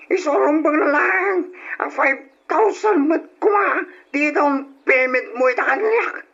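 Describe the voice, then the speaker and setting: An actor playing a pushy, excitable man in an insistent, loud old radio drama style. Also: extremely high pitch, measured pace, full character voice. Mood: insistent, agitated. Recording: muffled old radio recording.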